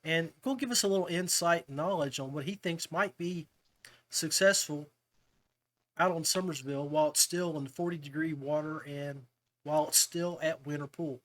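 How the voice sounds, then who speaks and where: A man's voice: a little high, normal speed, a bit bright. A man hosting a casual fishing podcast, talking to guests in a chummy way, like old buddies. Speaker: podcast host.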